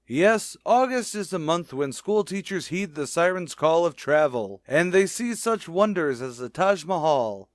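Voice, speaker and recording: A wry male announcer introduces a vintage radio comedy. His fairly high voice moves theatrically up and down, close and dry. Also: dry recording. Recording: old radio broadcast recording.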